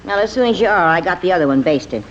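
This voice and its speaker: Actress as folksy older American woman, lively, muffled radio. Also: lowish voice, fast, slight background noise, natural voice. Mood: brisk, folksy. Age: middle-aged or older. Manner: folksy, blunt, chuckling. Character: plain-spoken, folksy, good-humored older housekeeper woman. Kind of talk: old-time radio comedy acting. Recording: muffled old-time radio recording.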